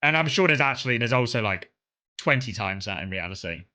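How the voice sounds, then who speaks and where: A man's voice: mid-range voice, expressive, fairly quick, bright, forceful tone. A young man from Kent with a southern English accent, chatting casually and rambling to his stream viewers, full of fillers and restarts. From England (Kent).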